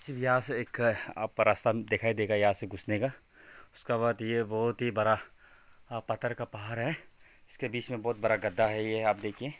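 Halting Northeast Indian man, even pitch. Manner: halting, repetitive, simple phrasing. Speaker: vlogger exploring a cave. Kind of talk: casual on-location narration. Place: outdoors at a forested cave mouth. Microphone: handheld phone.

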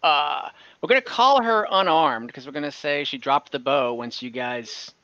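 A young man serves as dungeon master over a video call. His voice is bright, and he works through the rules in an easygoing way. The sound comes through a dull, dry headset line. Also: on the high side, expressive, moderate pace, dry recording. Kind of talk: running an online tabletop game.

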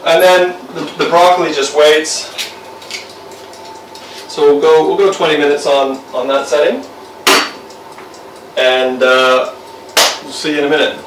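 A man's voice: on the high side, level tone, measured pace, pauses often, noisy background. A young man casually talking through cooking a roast in his home kitchen, rambling with lots of fillers. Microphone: camera mic from across the room. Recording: roomy, distant camera sound.

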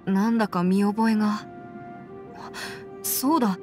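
A woman's voice: medium pitch, measured pace, darkish tone, close to an everyday voice. A woman playing a composed, earnest young female adviser in an anime game, with matter-of-fact, mannish phrasing over background music. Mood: curious.

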